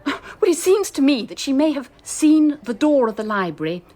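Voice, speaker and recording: An actress playing a prim, guarded schoolmistress with brisk, precise speech in a radio mystery drama. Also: female voice, high voice, big pitch swings, dark tone.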